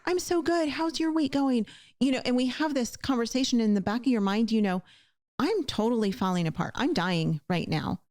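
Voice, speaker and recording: A woman's voice: a little high, expressive, normal speed, darkish tone, no echo, studio quality. A woman hosting a conversational show, speaking in an earnest, emphatic way as she encourages her listeners directly. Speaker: podcast host.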